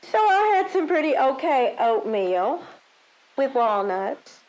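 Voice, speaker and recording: A woman's voice: high voice, very animated, takes it slowly, a little mellow, exaggerated voice, poor audio. A woman playing a self-important, scatterbrained country-club official in an audio drama, with a breezy, entitled, offhand delivery. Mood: breezy, vague.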